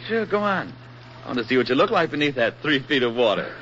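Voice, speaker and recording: An actor playing an affable, eager young army private on furlough in a folksy, talkative 1940s radio drama style. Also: male voice, medium pitch, sing-song, fast talker, fairly bright tone. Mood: coaxing. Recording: muffled, noisy old 1940s radio recording.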